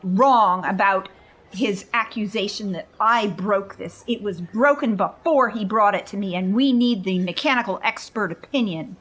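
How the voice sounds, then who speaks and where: A woman playing a tabletop role-playing game, improvising haltingly in and out of character. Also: medium pitch, fairly bright tone. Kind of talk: casual in-character role-play and table talk.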